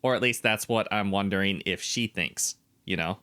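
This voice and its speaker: Bright-toned low-voiced man co-host. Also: moderate pace, no echo. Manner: inquisitive, asks clarifying questions, opinionated. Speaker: podcast co-host. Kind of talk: casual chat, reading online comments aloud.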